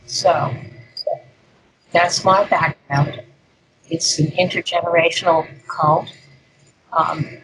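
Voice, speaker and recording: An older woman, a guest speaker, talking through an interview in an unhurried, rambling way with plenty of fillers. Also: sits low, rough recording. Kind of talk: conversational interview.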